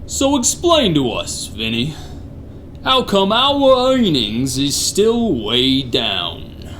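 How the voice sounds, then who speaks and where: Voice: medium pitch, wildly swooping pitch, takes it slowly, a bit bright, full character voice. An actor playing a pompous, irritable gangster boss in comic radio theater, domineering and scolding in mobster slang. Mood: stern, suspicious. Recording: faintly noisy recording.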